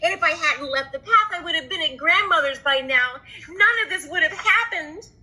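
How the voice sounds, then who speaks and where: Innocent little storybook girl, played by a woman, very high bright voice, earnest, wondering, swooping delivery. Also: animated, full character voice, poor audio. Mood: regretful. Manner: wondering, drawn-out exclamations. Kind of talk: expressive children's storybook reading.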